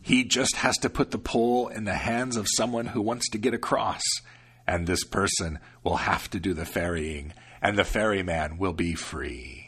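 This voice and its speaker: A man voicing a grumpy, suspicious old male devil in a slow, gruff growl. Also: deep voice, fairly bright tone.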